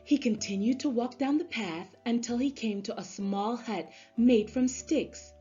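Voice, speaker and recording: Husky woman reading a storybook, very slowly. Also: fairly high pitch, warm tone. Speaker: storybook reader. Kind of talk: expressive children's read-aloud narration. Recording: slightly dull sound, music underneath. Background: music.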